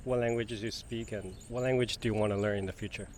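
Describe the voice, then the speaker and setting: A man's voice: fairly low pitch, fairly even intonation, fairly quick, a little mellow, a little hiss or hum. A man interviewing a guest in a casual conversation, asking friendly, probing questions with occasional hesitant fillers.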